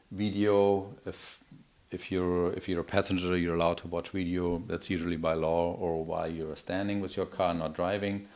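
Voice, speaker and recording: A man with a low voice walks through a product demonstration. He speaks unscripted, in a hesitant, explanatory manner, as a presenter showing how something works. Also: darkish tone. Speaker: product demonstrator, account manager. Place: indoor office or showroom.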